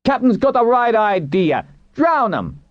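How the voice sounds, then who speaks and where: A man voicing an angry male rabble-rouser in a storybook, loud and rough-spoken. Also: high-pitched, wildly swooping pitch, a little slow, pitched above the performer's own voice, full character voice. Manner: loud, rough colloquial shouting. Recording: roomy, dull muffled recording.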